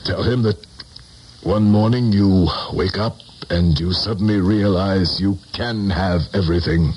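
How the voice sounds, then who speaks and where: A middle-aged American politician, powerful yet haunted and desperate. His voice sits low and his delivery is urgent and theatrical, in an old radio play with noticeable background noise. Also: unhurried, darkish tone. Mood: pleading. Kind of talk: 1970s radio drama acting. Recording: noisy 1970s radio broadcast recording.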